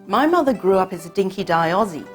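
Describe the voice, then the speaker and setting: A woman raised in Hong Kong whose first language is Cantonese, telling her family's story in measured, reflective scripted narration. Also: medium pitch, lively intonation, soft background music. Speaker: narrator of her own short film.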